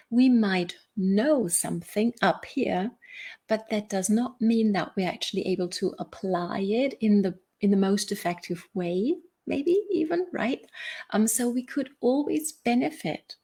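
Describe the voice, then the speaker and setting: A woman's voice: fairly high pitch, warm tone. Woman giving a motivational talk. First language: German. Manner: conversational, seeks agreement with rhetorical questions. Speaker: motivational coach and video host.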